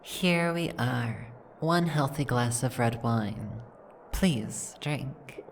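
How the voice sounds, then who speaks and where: A woman playing an imperious, seductive dragon aristocrat in an ASMR roleplay, with languid, commanding, formal speech and a breathy, gravelly voice. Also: very deep voice, animated, very slow, slight background noise, full character voice. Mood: smooth, seductive. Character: imperious seductive female dragon, possessive aristocratic mansion owner. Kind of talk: ASMR roleplay, scripted second-person acting.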